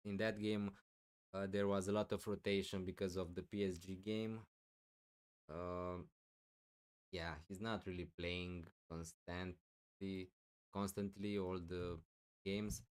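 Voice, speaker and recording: Man, live streamer, low voice, smooth and clear, loud with lots of fillers, voice wearing thin. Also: little pitch movement, slow, long pauses. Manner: loud, thinking aloud with many fillers. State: losing his voice, clearing throat. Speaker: fantasy football streamer. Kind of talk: live stream answering chat questions.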